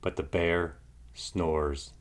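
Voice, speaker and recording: A man reading a children's picture book aloud in an expressive narrating style. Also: low voice, very slow, slight background noise. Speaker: storybook reader.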